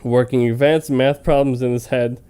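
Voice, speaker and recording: A man's voice: medium pitch, steady tone, normal speed, dark tone, clear, resonant tone. A man reading fan fiction aloud in an informal, comedic way, tripping over words now and then and prone to joking asides. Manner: breaks into laughter easily. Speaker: reader of fan fiction for a comedy web series.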